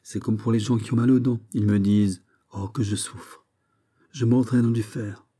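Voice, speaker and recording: A middle-aged musketeer captain from a historical novel, performed by a male narrator. He is gruff and fatherly, given to mocking outbursts. His timbre is very dark and soft-edged. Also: lowish voice, steady tone, moderate pace. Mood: sardonic scolding. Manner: blustering, exclamatory, mocking. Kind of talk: dramatised audiobook of a historical novel.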